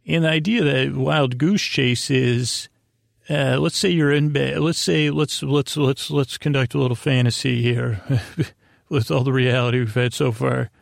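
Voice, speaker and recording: An American man hosting a podcast, giving a soothing, meandering bedtime monologue in a halting way, often repeating himself and trailing off. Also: medium pitch, steady tone, vocal fry, no room sound, clean studio sound. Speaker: podcast host.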